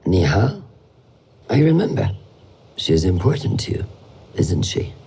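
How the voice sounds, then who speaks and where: An actor playing a stern assassin mentor who speaks in a measured, solemn, instructive way. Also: male voice, low voice, big pitch swings, dark tone, a little hiss or hum. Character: stern older male assassin mentor, calm and grave. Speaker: mentor of the hidden ones. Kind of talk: game cutscene acting.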